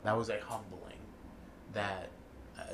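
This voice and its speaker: Young male co-host, slow and halting, relaxed casual talk. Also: fairly low pitch, crowd noise. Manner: halting and hesitant, many fillers and restarts. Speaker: podcast co-host and video editor. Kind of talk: casual chat.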